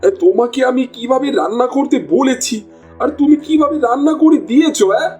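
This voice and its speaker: Man voicing a high-pitched exclamatory cartoon village husband. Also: a little fast, darkish tone, faint music behind. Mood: angry, scolding. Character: grumbling, easily exasperated village husband. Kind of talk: Bengali cartoon dialogue.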